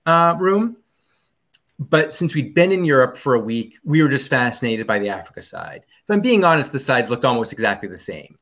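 A man's voice: mid-range voice, big pitch swings, a little fast, fairly bright tone. A man as a podcast guest in casual chat, rambling about a trip with many ums and restarts.

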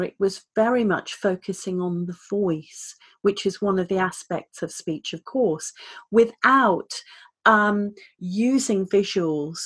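Podcast guest: woman speaking trainer, measured and articulate, mid-range voice. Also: mid-range voice, fairly bright tone, breathy edge. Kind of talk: conversational interview.

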